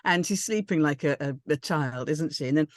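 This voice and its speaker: A woman's voice: deep voice, a little fast, no room sound. A woman chatting in a rambling, tentative but enthusiastic way about a script in a group discussion. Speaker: script reading panellist.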